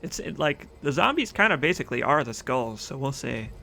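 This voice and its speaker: A man's voice: mid-range voice, big pitch swings, a little fast, gravelly, bright, forceful tone, a little hiss or hum. A young man casually chatting through a game stream, reading out chat comments and rambling with fillers. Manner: chatty, rambling, reads chat aloud, laughs often.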